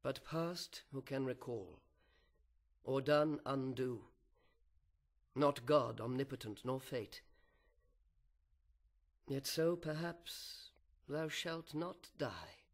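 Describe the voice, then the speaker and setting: A man playing a grave, resigned, devoted husband in a verse epic, speaking in a solemn, measured way. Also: mid-range voice, natural voice. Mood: grave reproach, then hopeful reasoning.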